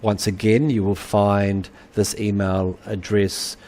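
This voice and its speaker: Middle-aged New Zealand man, lecturer, low voice, halting, fillers throughout. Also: flat delivery, a little mellow. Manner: halting, many uhs and ums. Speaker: university lecturer. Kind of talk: webinar lecture.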